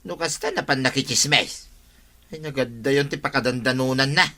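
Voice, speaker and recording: A man's voice: mid-range voice, normal speed, bright, forceful tone, close to an everyday voice. A man playing a nosy, griping village resident in a comedy drama. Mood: scheming.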